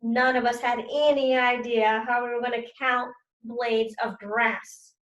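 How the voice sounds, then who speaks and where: Expressive woman teacher reading aloud, echoey room. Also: fairly high pitch, measured pace. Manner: expressive, stressing key words. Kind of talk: children's read-aloud with teaching commentary.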